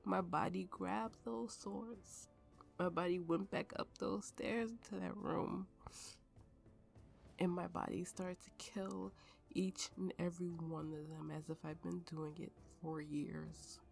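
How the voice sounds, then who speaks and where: Haunted, tipsy swordswoman played by an actress, ASMR roleplay storyteller; slow, creaky delivery, bitter and grieving, dramatic pauses. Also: lowish voice, steady tone, soft background music, exaggerated voice. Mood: numb, intense. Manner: halting, slow, dramatic pauses, dropping toward whispers.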